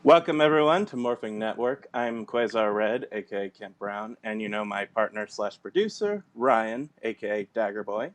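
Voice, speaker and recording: A man's voice: lowish voice, lively intonation, normal speed, hardly any pauses. A man hosting an online interview show, upbeat and welcoming. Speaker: interview host.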